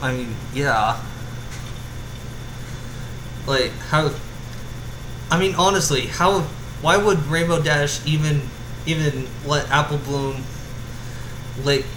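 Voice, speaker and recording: Amateur male reader, mid-pitched, stumbling delivery, roomy sound with clear background noise. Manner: halting, stumbling over and repeating words. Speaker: fan fiction reader. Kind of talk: amateur story reading and casual chat.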